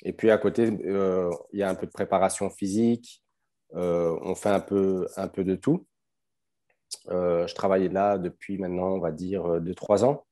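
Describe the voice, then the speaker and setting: Guest coach, Belgian accent, deep and warm, easygoing with lots of pauses. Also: male voice. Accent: Belgian French. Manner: relaxed, hesitant, many fillers and restarts. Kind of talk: casual podcast conversation.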